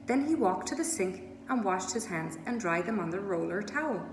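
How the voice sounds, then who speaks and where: Female read-aloud narrator for children's fiction, mid-pitched, recorded in an echoey, noisy room. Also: moderate pace. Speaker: storybook reader. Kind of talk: scripted children's story narration. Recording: echoey room with steady noise.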